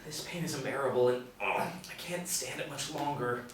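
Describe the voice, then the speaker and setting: A man's voice: medium pitch, normal speed, lightly acted. A man playing a desperate, confused man in agony, stammering and strained, in a drama reading. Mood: pained, confused. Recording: echoey room, distant mic, background noise.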